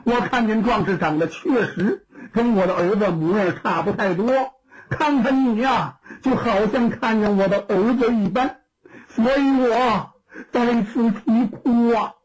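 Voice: medium pitch, breathy edge, poor audio. Storyteller doing a wailing, grieving old woman. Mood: tearful, pleading. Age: older. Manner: wailing, drawn-out crying speech.

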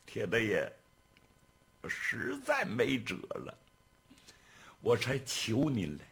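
A man's voice: fairly low pitch, huge pitch swings, takes it slowly, fairly bright tone, breathy edge, exaggerated voice. A man voicing a desperate, fawning male steward in a traditional storytelling piece, whining and stammering as he begs. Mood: pleading.

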